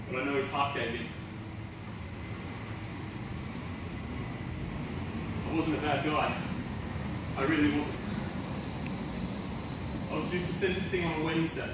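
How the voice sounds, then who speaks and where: A man's voice: high-pitched, noisy background. A man playing a fallen self-help guru in a theatrical monologue, intense, volatile, sardonic and profane, with a confessional bitterness. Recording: echoey room, distant mic, music behind.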